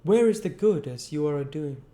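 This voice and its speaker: A man's voice: fairly high pitch, lively intonation, moderate pace, dark tone, pitched above the performer's own voice, natural voice. A man voicing a kindly, uneducated country man from a novel, earnest and argumentative, with rustic, emphatic phrasing. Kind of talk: audiobook character voice.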